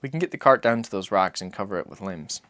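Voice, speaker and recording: A man reading as a weary, patient father who gives terse, measured answers in an audiobook. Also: fairly low pitch, expressive, fairly bright tone, no room sound. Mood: guarded. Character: weary, patient father, guarded survivor answering his son.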